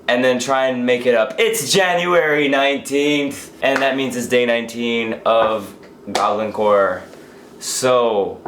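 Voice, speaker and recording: A tired young man vlogging casually, hoarse and losing his voice from being sick, rambling and interrupting himself. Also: mid-range voice, fairly bright tone, slight background noise. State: tired after a long work shift. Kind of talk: casual daily vlog. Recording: echoey room.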